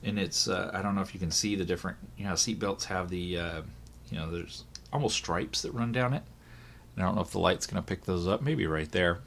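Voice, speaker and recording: A hoarse man, voice still recovering from illness, chatting casually through a product unboxing, thinking aloud with fillers and corrections. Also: low voice, a little fast, a bit bright, a little hiss or hum. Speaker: watch reviewer.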